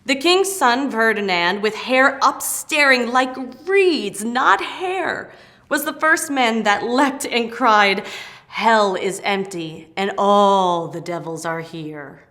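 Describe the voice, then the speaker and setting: A woman's voice: fairly high pitch, animated, slow, pushed and bright, exaggerated voice. A woman playing an eager, proud airy spirit servant in a declamatory, emphatic Shakespearean stage reading. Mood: vivid, excited. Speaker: actor in dramatic reading.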